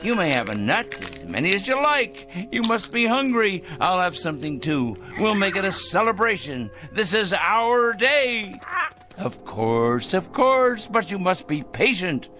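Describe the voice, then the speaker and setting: A man's voice: high voice, big pitch swings, a little slow, sharp tone, soft background music, full character voice. An actor playing a paranoid, smug middle-aged man in a 1960s TV drama, talking theatrically to his pet bird. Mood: exultant. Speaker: fanatical letter-writing crank.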